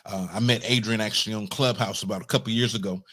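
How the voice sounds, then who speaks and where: An American man hosting an interview, introducing his guest in a friendly, relaxed way with short restarting phrases. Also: fairly low pitch, fast talker. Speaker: host.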